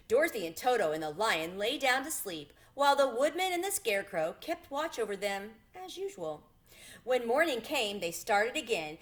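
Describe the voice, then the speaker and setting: Lady reading a kids chapter book out loud, expressive and deliberate, mid pitched voice. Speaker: storybook reader. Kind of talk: read-aloud children's story narration.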